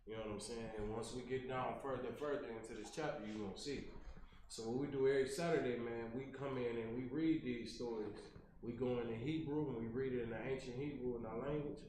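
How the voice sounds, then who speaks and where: A man's voice: mid-range voice, steady tone, fast, a little mellow. A man leading a Sabbath scripture service, talking casually and often checking in with "you know what I'm saying". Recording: very echoey room with noticeable noise.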